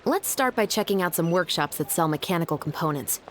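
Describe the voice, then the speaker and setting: A woman's voice: fairly low pitch, expressive, fairly quick, fairly bright tone, soft background music. A woman playing a composed, dutiful young patrol officer in a video game, speaking formally and earnestly. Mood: thoughtful.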